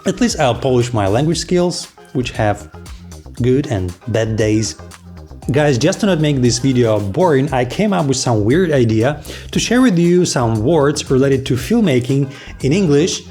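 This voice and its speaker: A young man talks straight to camera in a relaxed, playful vlog, jumping now and then into mock astonishment. His voice sits at an ordinary middle pitch, and soft music plays quietly underneath. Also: unhurried. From Belarus. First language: Belarusian. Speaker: video creator. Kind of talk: casual vlog talk to camera.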